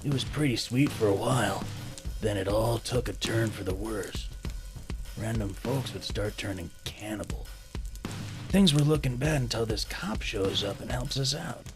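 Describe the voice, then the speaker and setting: Menacing homeless drifter played by a man, loud music. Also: on the low side, moderate pace, lightly acted. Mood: wry, bitter. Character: bitter, hungry homeless man turned cannibal. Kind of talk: audio drama acting.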